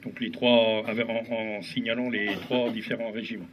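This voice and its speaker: A man announcing the order of a memorial ceremony outdoors, speaking haltingly and switching between French and English. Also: on the low side, little pitch movement, noisy background. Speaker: ceremony organizer.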